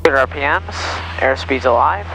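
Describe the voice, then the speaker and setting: A man's voice: mid-range voice, expressive, moderate pace. A man speaking as a student pilot, making brief cockpit callouts and questions over an aircraft intercom headset. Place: small airplane cockpit in flight. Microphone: aviation headset over intercom. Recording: aircraft intercom with engine hum. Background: aircraft engine hum.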